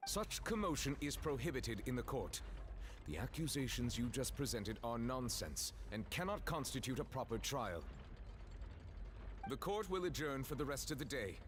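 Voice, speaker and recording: A man's voice: medium pitch, big pitch swings, moderate pace, a bit bright, slight background noise. A man voicing a stern, composed, authoritative chief judge in a video game, speaking formally and commandingly.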